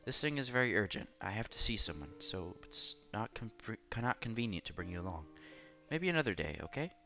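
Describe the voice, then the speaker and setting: A man's voice: on the low side, very animated, fairly quick, pushed and bright, highs cut off, soft background music. A man doing a casual let's-play, reading a visual novel aloud with asides and stumbling over words as he goes.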